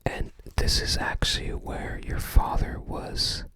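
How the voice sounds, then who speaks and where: An ASMR host whispering in a hushed, unhurried way, musing aloud while browsing a book.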